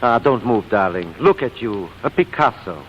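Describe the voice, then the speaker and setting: A man's voice: lowish voice, animated, moderate pace, close to an everyday voice. A man playing a smitten, pompous romantic suitor, flowery and theatrical in 1940s comedy style. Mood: adoring. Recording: muffled old soundtrack, faint noise.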